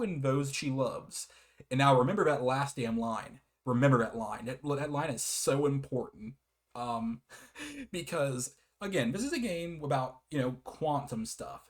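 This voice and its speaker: A man casually hosting a game review, often chuckling as he rambles, backtracks and corrects himself. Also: mid-range voice. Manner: hesitant, self-correcting, often repeats and backtracks; chuckles often while talking. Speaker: video game reviewer and host.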